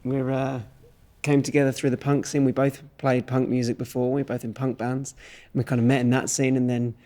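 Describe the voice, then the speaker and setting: A man's voice: medium pitch, little pitch movement, a little fast, dark tone. A man, a folk musician, chatting casually in an interview in a thoughtful, slightly hesitant way. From England. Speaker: folk musician, singer and guitarist.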